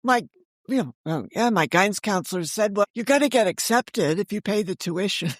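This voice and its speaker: A woman's voice: mid-range voice, lively intonation, fairly quick, no room sound. Older woman, chatty storyteller, close dry sound. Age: older, around 70. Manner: chatty and candid, acts out quoted remarks. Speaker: guest, author and audio drama creator. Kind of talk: casual anecdotal storytelling in an interview.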